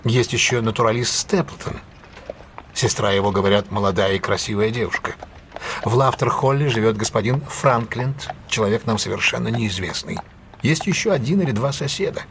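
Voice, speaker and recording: An actor playing a shrewd, authoritative detective in an audio drama, speaking precisely and in a measured way as he instructs. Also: lowish voice, noisy background, natural voice. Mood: analytical, firm. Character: shrewd, commanding male detective giving careful instructions.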